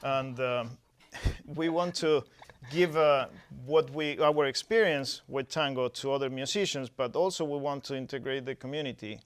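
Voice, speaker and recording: A man's voice: medium pitch, normal speed, clean tone. A man who directs a music festival, explaining it in a TV studio interview step by step, with frequent restarts.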